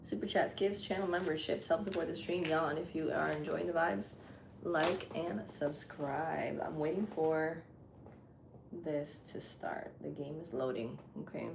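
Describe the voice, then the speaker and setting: A woman live streamer with a deep voice, chatting casually with her viewers while she sets up a game. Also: steady tone, a little slow, vocal fry. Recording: echoey room with loud background noise.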